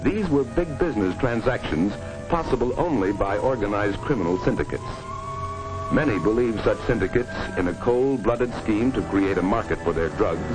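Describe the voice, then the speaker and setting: Deep-voiced 1950s educational film narrator, grave man. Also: poor audio. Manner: grave, stark, dramatic delivery. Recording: vintage 1950s film soundtrack with music.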